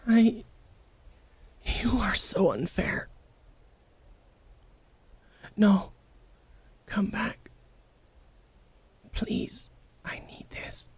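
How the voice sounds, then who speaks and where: A woman playing a prickly, vulnerable, secretly tender young wolfgirl in an intimate ASMR roleplay, speaking haltingly and often dropping into whispers. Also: mid-range voice, animated, husky, heavily acted. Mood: anguished, pleading. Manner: halting, guarded, softly emotional.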